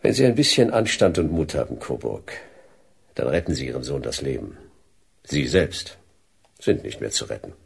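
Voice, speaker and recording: An actor playing a hard-boiled, relentless private detective in a sci-fi radio drama, terse, stern and dry. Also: male voice, extremely low pitch, big pitch swings, moderate pace, creaky, husky, full character voice. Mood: cold, threatening. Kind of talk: radio drama acting and noir narration.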